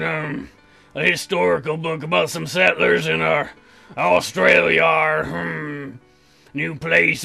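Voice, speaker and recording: A man puts on a folksy, awkward male librarian from a space colony in a game. He drags his words out haltingly, hemming, humming and clearing his throat in a creaky voice, with music playing underneath. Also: fairly high pitch, pushed and bright, full character voice.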